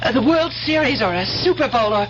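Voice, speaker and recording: A woman's voice: on the high side, animated, brisk, over music, lightly acted. An American woman acting a nervous, adoring fiancée, earnest and gushing, in 1970s radio drama style. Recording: muffled, noisy old radio recording.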